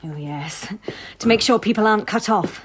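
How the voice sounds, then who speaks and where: A woman's voice: medium pitch, normal speed, slight background noise, lightly acted. A woman playing a nosy, gossipy French village postmistress in a radio drama, eager, chatty and confiding.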